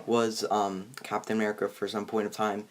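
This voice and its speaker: A man with a fairly low voice hosts a casual movie review conversation. He speaks in a halting way, breaking off mid-sentence and starting again as he works out his opinions. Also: little pitch movement, a little hiss or hum. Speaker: host of a movie review show. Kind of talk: casual chat, film review.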